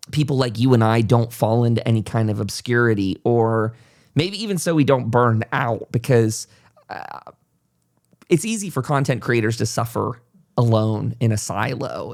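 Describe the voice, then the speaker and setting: A man's voice: mid-range voice, gravelly. Chatty male podcast host in conversation. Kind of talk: casual interview chat.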